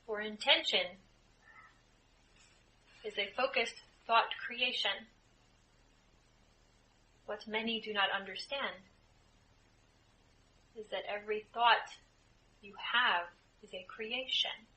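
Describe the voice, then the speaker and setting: A woman leading a guided group meditation as a spiritual channeler, speaking in measured, deliberate phrases with frequent pauses. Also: mid-range voice, bright, forceful tone, poor audio. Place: indoor room, live group setting. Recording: live group room, clear background noise.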